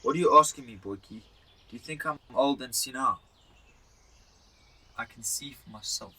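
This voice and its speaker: Man playing a raspy, sharp-edged grumpy old husband. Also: mid-range voice, normal speed. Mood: irritable. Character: grumpy, blunt elderly husband. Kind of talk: amateur radio drama acting.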